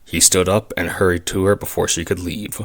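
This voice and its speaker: Man, low-pitched voice, narrator, scripted audio drama storytelling. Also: little pitch movement, a little fast.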